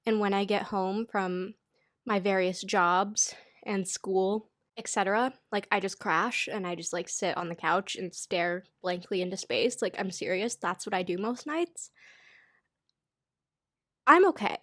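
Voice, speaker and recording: A woman's voice: mid-range voice, measured pace, fairly bright tone, no echo, studio quality. A young American woman in her twenties, badly congested, chatting casually and self-deprecatingly as she runs through her picks for the season. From United States. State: congested, stuffy-nosed. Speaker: knitting YouTuber. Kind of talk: casual chatty pattern roundup.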